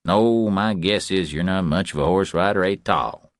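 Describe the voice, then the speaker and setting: A man's voice: deep voice, moderate pace, dry recording, studio quality, natural voice. A man voicing a cocky, wiry ranch hand who needles a newcomer in blunt, folksy, clipped phrases for an audiobook. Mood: mocking. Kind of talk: audiobook character dialogue.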